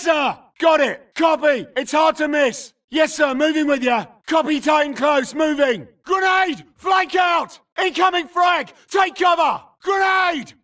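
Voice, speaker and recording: A man's voice: extremely high pitch, a bit bright, recorded from a distance. A man playing an urgent, tough infantry soldier in a video game, barking clipped combat callouts. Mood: alarmed. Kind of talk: shouted military combat barks.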